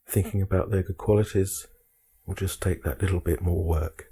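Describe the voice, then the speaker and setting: A man guiding a meditation, speaking calmly in measured short phrases with frequent pauses. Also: sits low, breathy edge. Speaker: meditation guide. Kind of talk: guided meditation instruction.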